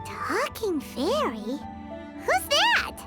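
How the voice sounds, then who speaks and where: Squeaky tiny fairy sidekick, bouncy swoopy voice, slow and drawn out, loud game music behind. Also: female voice, heavily acted. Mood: excited. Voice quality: squeaky childlike high voice. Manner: excitable, refers to herself in third person. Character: small chirpy fairy companion, curious and bold. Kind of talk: video game dub acting.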